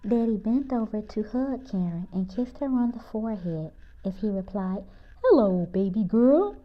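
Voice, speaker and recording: A woman storyteller reading a children's picture book aloud with expression. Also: moderate pace. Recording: muffled, low-fidelity audio.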